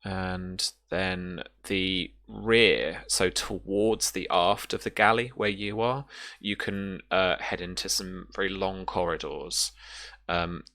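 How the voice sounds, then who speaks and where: Low, slow, gravelly male game master. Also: pushed and bright. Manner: halting, pausing to find words. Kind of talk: improvised tabletop game narration.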